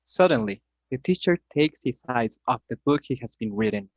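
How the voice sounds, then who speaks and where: A man's voice: medium pitch, expressive, normal speed, no room sound. A man reading scripted narration for an amateur radio play, setting scenes as a storyteller.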